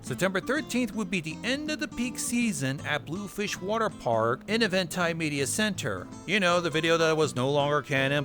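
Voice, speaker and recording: A man hosting a narrated recap, with wry asides and casual swearing. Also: medium pitch. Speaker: video host. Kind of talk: narrated recap of horror web series. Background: music bed.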